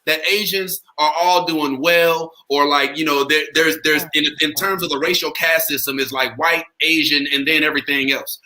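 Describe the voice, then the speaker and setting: High, bright-voiced American man hosting. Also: steady tone, normal speed, hardly any pauses, poor audio. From St. Louis, Missouri, US. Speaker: podcast host. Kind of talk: casual chat.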